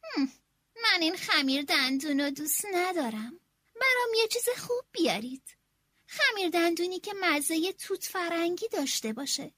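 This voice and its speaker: Bossy queen bee with a shrill, lively voice, rude and demanding; a woman performs her. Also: dry recording, heavily acted. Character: spoiled bossy queen bee, female, quick-tempered.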